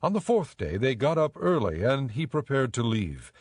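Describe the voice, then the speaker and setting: A man giving measured, solemn scripted narration for a dramatized Bible audiobook. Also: mid-range voice, very animated, darkish tone, husky, dry recording, studio quality.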